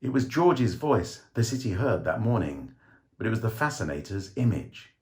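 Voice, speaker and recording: A man's voice: on the low side, lively intonation, normal speed, darkish tone. A man reading a short story aloud as a dramatic scripted narration.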